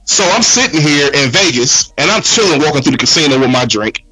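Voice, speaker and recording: Husky-voiced man chatting on a podcast. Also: on the high side, expressive, fairly quick, sharp tone, low-quality recording. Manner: laid-back storyteller, repeats "real talk" and "man". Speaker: podcast guest. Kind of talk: casual podcast chat. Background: background music track.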